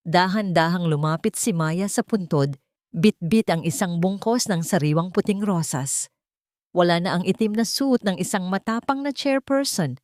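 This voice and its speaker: A woman with a Filipino accent tells a dramatic story from a script. Her voice sits somewhat low for a woman. The sound is very dry, with no room echo around her narration. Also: darkish tone.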